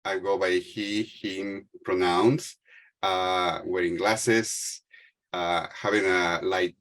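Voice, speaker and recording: A man's voice: deep voice, steady tone, slow pace, a bit bright. A middle-aged man from Mexico who speaks English as a second language with a Spanish accent, giving a talk on a video call with many fillers and restarts. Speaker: panel speaker.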